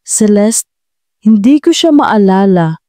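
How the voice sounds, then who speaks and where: A gentle, bewildered man in a romance audio drama, played by a woman. He speaks earnestly in a mellow tone, recorded dry and close. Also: medium pitch, animated, takes it slowly, dry recording. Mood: firm. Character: confused, gentle male CEO with lost memory.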